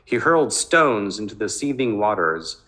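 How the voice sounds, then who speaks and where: A man's voice: on the low side, very animated, moderate pace, bright, forceful tone. A man narrating a novel in a dramatic, scripted reading style.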